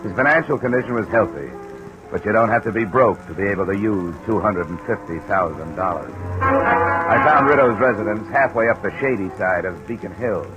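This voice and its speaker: A man's voice: fairly low pitch, expressive, fairly quick, highs cut off, over loud music, close to an everyday voice. An American man playing a laconic, sardonic insurance investigator in an old-time radio drama. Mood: matter-of-fact narration. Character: dry, wry male freelance insurance investigator. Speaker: radio drama lead actor. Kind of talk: old-time American radio detective acting, narration and dialogue. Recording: old radio recording, faint crackly hiss.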